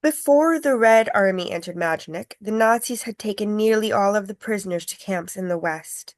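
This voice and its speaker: A woman acting a polite, earnest tour guide, measured and explanatory, in a film script read. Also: mid-range voice, dry recording, close to an everyday voice. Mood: solemn, earnest.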